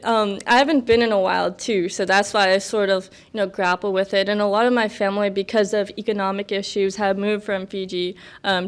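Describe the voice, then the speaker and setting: A woman's voice: medium pitch, a bit bright, clear, resonant tone. A woman in her twenties from the United States, a podcast guest chatting casually, rambling with many fillers and laughs. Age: 20s. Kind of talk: casual interview chat.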